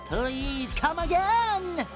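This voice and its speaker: A young, chatty female cartoon character with a high, lively singsong voice. Music plays behind her. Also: extremely slow, heavily acted. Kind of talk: cartoon game voice acting.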